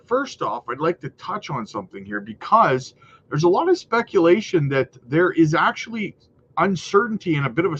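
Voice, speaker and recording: A man's voice: high-pitched, a bit bright. Chatty, slightly nasal livestream guy. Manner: chatty and hyped, keeps calling viewers guys. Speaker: crypto market livestream host. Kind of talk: casual market commentary livestream. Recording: dull lo-fi audio with faint noise.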